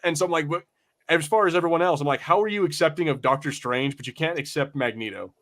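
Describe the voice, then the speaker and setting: A man's voice: fairly high pitch, fast talker, fairly bright tone. A man co-hosting a podcast, chatting casually about pop culture in a profane, exasperated ranting manner. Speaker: podcast co-host.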